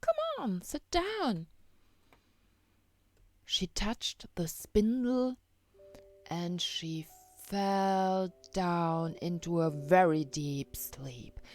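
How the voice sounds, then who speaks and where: A woman telling a fairy tale dramatically, in simple, halting phrases with pauses for effect. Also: lowish voice. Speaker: storyteller.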